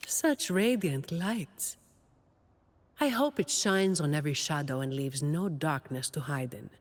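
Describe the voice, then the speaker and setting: A woman's voice: sits low, a little slow, long reverb tail. A woman voicing a dutiful, weary young woman guardian in a video game, dry and composed with a confident edge. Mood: solemn, resolute. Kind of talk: video game character voice lines.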